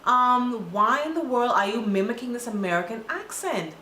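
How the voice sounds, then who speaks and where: A woman's voice: on the high side, lively intonation, moderate pace, pushed and bright. A young woman with a Bahamian accent who is co-hosting a casual talk show and scolding with emphatic, exasperated energy. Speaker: podcast co-host. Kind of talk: casual opinion chat. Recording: echoey room with faint noise.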